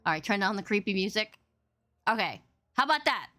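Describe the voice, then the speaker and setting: A woman with a loud, boomy voice hosting a casual livestream podcast, effusive, playful and rambling as she chats with her guest. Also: expressive, fairly quick. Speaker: podcast host and interviewer.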